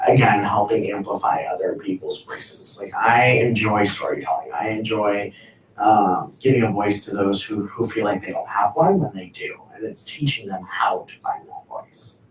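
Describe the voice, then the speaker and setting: A man's voice: lowish voice, moderate pace. A man speaking on a discussion panel in an earnest, loose way, with many "like"s. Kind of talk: casual panel talk. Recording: echoey room from far off, faint noise.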